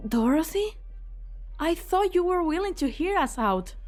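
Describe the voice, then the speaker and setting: Woman playing an anxious, high-voiced young doctor. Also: very animated, measured pace, a little mellow, soft background music, full character voice. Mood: worried, pleading. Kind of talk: fan dub of a game story.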